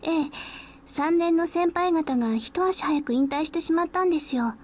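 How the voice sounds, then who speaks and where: Quick, high-pitched teasing anime schoolgirl. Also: darkish tone. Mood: matter-of-fact. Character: cheerful, teasing, eccentric high school first-year girl. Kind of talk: Japanese visual novel voice acting.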